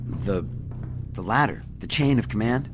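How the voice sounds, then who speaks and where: A man playing a cautious, uneasy captain in a sci-fi audio drama, speaking in a halting, guarded way. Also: on the low side, animated, soft background music. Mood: uneasy, reluctant. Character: uneasy, cautious military captain under orders.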